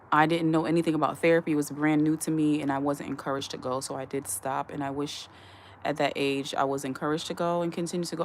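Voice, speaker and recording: Low-voiced woman chatting on a livestream. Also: a little hiss or hum. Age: adult. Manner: reads viewer comments aloud and answers them; earnest, advising tone. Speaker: livestream host. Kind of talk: casual live-stream chat.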